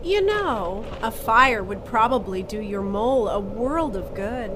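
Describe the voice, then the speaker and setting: An actress playing a brusque, exasperated co-captain in an audio drama, curt, impatient and sarcastic. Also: female voice, fairly high pitch, lively intonation, a little slow, noisy background. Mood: insistent.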